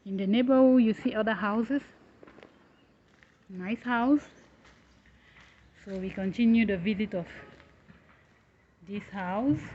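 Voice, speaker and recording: A woman vlogger, speaking English as a second language, hosting a casual walking tour of a house under construction, with halting phrasing and restarts. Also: medium pitch, a little mellow, muffled recording, a little hiss or hum. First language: not English. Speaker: travel vlogger, host.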